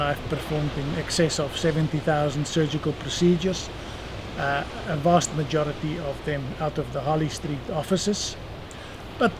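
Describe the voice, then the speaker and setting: South African man in his sixties, measured. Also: on the high side. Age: 60s. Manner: measured, dry self-deprecating humor. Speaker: eye surgeon guest. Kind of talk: seated interview answers. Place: outdoor terrace by the sea. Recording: outdoor noise behind voice.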